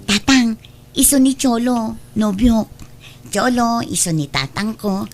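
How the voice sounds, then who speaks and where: A woman's voice: on the high side, slow pace. A woman with a Filipino accent playing a young, dutiful daughter in a comedy drama, chatty and reassuring. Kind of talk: amateur comedy drama acting.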